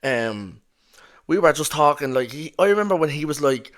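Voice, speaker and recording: A young man with a broad Dublin accent hosts a relaxed podcast conversation. He rambles, swears casually and leans on fillers as he talks. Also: a little high, moderate pace. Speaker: podcast host. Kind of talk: casual chat.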